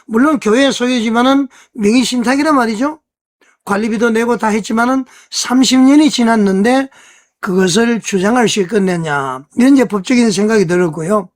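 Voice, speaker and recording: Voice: mid-range voice, brisk. An online church news commentator speaks in a mid-range voice. The delivery is conversational and explanatory, walking viewers through the details and often turning to them with rhetorical questions.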